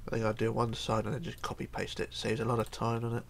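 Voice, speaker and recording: Hoarse man with a gravelly voice. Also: fairly low pitch, completely flat delivery, quick pace, a little hiss or hum. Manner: chatty, counts blocks aloud, often trails into mumbling. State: hoarse with a cold. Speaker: tutorial host. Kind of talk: casual game build tutorial. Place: home computer desk.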